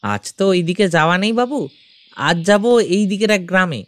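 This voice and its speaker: A man's voice: high voice, big pitch swings, brisk, fairly bright tone, clean tone, dry recording. An actor playing a deferential village manservant speaking to his master in an audio story. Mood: obliging. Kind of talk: audio story character acting.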